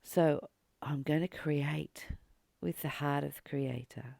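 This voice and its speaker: A spiritual teacher reading aloud and reflecting on a text in a deliberate, earnest way, pausing between short phrases. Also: medium pitch, steady tone, vocal fry, darkish tone, dry recording, clean studio sound.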